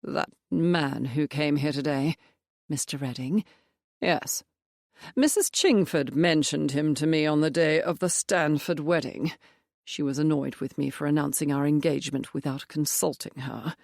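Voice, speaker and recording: A gentle middle-aged clergyman, rueful and apologetic, speaking softly and a touch lower than the reader's own voice, as a woman narrator puts him on. The tone is low and even, and the recording is dry and close. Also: unhurried, creaky, dry recording. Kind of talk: audiobook dialogue.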